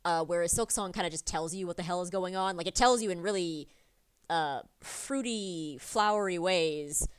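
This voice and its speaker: Rambling woman with a low voice. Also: moderate pace, clear, resonant tone. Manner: rambling, with many fillers and restarts. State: sniffly from a cold. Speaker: artist streaming a drawing session. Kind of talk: casual chat while drawing.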